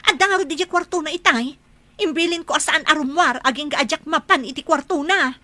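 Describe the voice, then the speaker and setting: A sharp-tongued, scornful caretaker in a radio drama, played by a woman. She has a high, bright, forceful voice and a scolding, contemptuous way of talking. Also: a little fast, no room sound. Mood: anxious. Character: harsh, scornful adult female caretaker.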